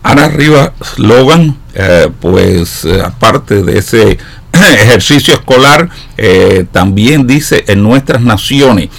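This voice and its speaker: A man interviewing formally, putting long, wordy questions in a halting way. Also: mid-range voice, animated, gravelly.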